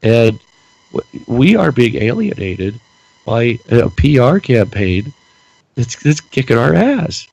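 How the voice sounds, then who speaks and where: A man's voice: medium pitch, big pitch swings, takes it slowly, creaky, a little mellow, dry recording, low-quality recording. A man co-hosting a casual political podcast, ranting with lots of repeated words while losing his voice and stuffy-nosed. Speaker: podcast co-host.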